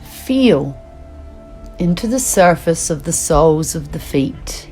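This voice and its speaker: A woman teaching yoga nidra, guiding a relaxation meditation in slow, soothing instructions with long pauses. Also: low-pitched, animated, dark tone, soft background music.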